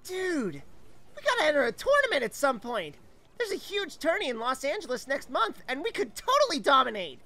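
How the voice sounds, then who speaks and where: An excitable teenage boy gamer in an audiobook drama, acted by a woman. The voice is high and very animated, with smug emphasis. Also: brisk, exaggerated voice. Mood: excited.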